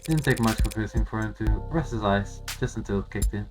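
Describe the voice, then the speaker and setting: A man's voice: lowish voice, a little fast, close to an everyday voice. A man voicing a guarded, sardonic lawyer in a game, rambling drily through old memories. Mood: wry reminiscing. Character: wry, cynical, guarded lawyer telling old stories. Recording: music behind the voice.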